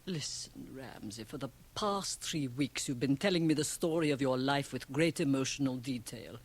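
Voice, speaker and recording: Worldly, low-voiced woman. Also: moderate pace, slightly rough, heavily acted. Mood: scolding, frank. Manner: emphatic, theatrical, probing. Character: shrewd, worldly, provocative Swiss woman, blunt and teasing. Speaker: radio drama actress. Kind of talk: radio drama acting.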